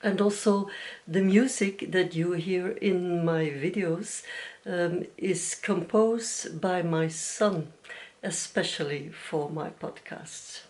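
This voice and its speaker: Woman, middle-aged, lowish voice, slow, hesitant with fillers, knitting podcast host. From Belgium. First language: Dutch. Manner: gentle, hesitant, many fillers and small restarts, a little nervous. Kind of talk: casual video podcast chat. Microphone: camera mic.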